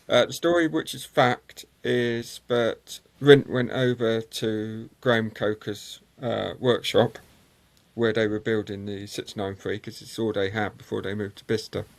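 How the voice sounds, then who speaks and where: A man, a guest on a motorsport show, telling long anecdotes with many ums and pauses. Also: fairly low pitch. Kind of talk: conversational interview storytelling.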